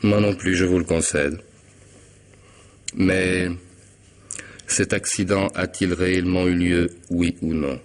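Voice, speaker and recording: A man's voice: sits low, steady tone, slow pace, pauses often. An actor playing a cunning, mocking hitman in a radio mystery, with theatrical irony and precise, formal diction. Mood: coldly assured. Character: cunning middle-aged hitman, smug, mocking, methodical blackmailer. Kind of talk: French radio mystery drama acting.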